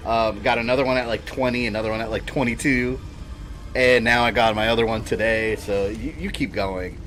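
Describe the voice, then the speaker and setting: A man's voice: mid-range voice, fairly even intonation, brisk, fairly bright tone, slight background noise. A man in his thirties chatting casually and rambling while he streams a video game. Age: 30s. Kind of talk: casual chat while gaming.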